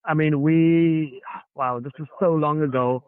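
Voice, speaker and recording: A man's voice: a little high, fairly even intonation, measured pace, clean tone, dry recording. A man with a Johannesburg South African accent, earnestly recounting his past as a podcast guest and acting out the dialogue. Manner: earnest, reflective, acts out dialogue. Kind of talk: personal storytelling. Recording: dry, phone-like narrow sound.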